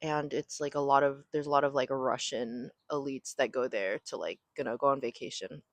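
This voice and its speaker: A woman's voice: extremely low pitch, steady tone, normal speed, no echo. A young woman from Southern California chatting casually on her own podcast, rambling with lots of "like" and "um". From raised in Southern California. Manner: rambling, filler-heavy, frequent "like" and "um". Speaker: podcast host. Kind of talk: casual solo chat. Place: home near an airport.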